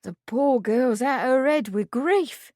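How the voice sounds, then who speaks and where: A woman's voice: sits high, slow pace, darkish tone, no room sound, clean studio sound, voice pushed up. A woman voicing a middle-aged household servant with rustic country speech, blunt and matter-of-fact. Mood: gossipy.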